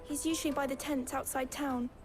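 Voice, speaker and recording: A woman's voice: sits high, fairly even intonation, brisk, music in the background, lightly acted. A woman voicing a nervous, timid child in a fantasy video game, hesitant and stammering. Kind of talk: dramatic video game acting.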